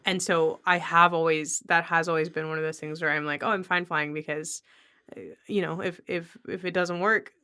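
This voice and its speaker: A woman's voice: lowish voice, steady tone, normal speed, pushed and bright, clear, resonant tone. A woman podcast host in a casual, conversational interview.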